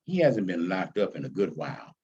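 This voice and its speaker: A man's voice: low-pitched, sing-song, fairly quick, darkish tone, dull audio, a little hiss or hum, voice pushed down. A man voicing a friendly, respectful adult visitor, polite and easygoing, in a storybook reading. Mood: reflective.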